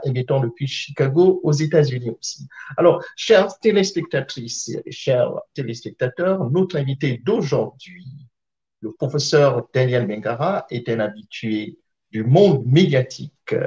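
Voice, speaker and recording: A man's voice: medium pitch, a little mellow, lo-fi sound. A man from Gabon, co-hosting a live interview with formal introductions and many hesitant fillers, speaking over a remote call. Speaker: co-host.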